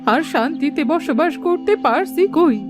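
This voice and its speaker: High-pitched, wailing old granny. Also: female voice, lively intonation, measured pace, music in the background. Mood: indignant. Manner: plaintive, wailing, scolding. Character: blind old village granny, wailing and aggrieved. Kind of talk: Bengali children's cartoon voice acting.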